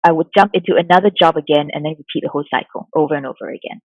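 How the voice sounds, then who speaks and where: A woman from Singapore in her forties, a mindfulness coach answering interview questions in a soft, thoughtful way with many fillers. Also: deep voice, rapid-fire, dry recording. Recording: dull lo-fi sound, dry.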